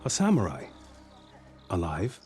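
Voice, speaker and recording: A deep-voiced, meek temple monk. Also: male voice, wildly swooping pitch, a little slow, darkish tone, exaggerated voice. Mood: startled, dismayed. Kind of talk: cinematic video game acting.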